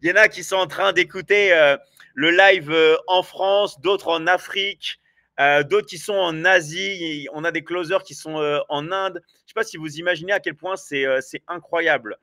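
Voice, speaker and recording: Man, live stream host, eager, filler-heavy, bright tense voice, slightly high, dry close sound. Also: moderate pace, no room sound. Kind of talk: live stream sales chat. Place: at home in South America.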